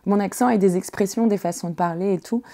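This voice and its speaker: A woman's voice: medium pitch, steady tone, quick pace, mellow. A woman from northern France in her thirties, chatting as a podcast guest, hesitant, with many fillers and restarts. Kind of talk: casual interview chat.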